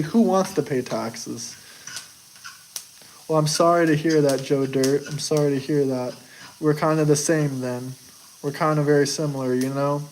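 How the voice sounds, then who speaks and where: A man's voice: on the high side, a little hiss or hum, poor audio. Young man rambling to his stream chat. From United States. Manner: boastful, defensive, rambling. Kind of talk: casual live chat.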